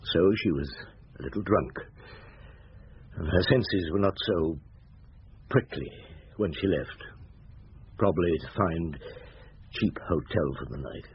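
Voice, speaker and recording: An actor playing a patient, shrewd, compassionate police inspector in a radio drama, speaking in a measured, quietly probing way. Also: male voice, low voice, breathy edge, full character voice. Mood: grave, measured. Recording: muffled, noisy old radio broadcast.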